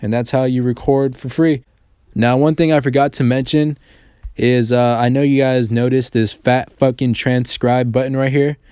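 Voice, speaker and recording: A man's voice: medium pitch, fairly even intonation, normal speed, a little mellow, smooth, dry recording, studio quality. A man narrating a casual how-to tutorial in an informal, slangy, profanity-laced way. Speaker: tech tutorial host. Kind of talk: casual screen-recording tutorial voice-over.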